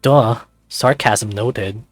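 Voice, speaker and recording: A man's voice: on the low side, extremely slow, dry recording. Man playing a wry young lab newcomer. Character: male furry new lab worker, snarky but eager. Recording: music playing behind close dry voice.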